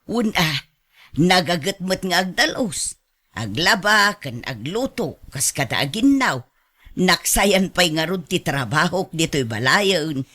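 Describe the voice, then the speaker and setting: Contented Ilocano mother, radio drama, homely, chatty, animated pitch swings. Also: takes it slowly, exaggerated voice. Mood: approving.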